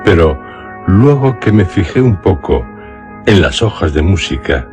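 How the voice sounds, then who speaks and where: A man narrating a literary tale for radio theatre in a solemn, deliberate manner with dramatic pauses. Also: deep voice, big pitch swings, over music. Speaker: radio storyteller.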